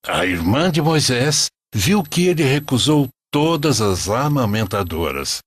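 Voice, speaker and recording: A man playing a devout, patient old grandfather narrating a sacred animated story. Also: medium pitch, a little slow, slightly rough, dry recording. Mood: solemn storytelling.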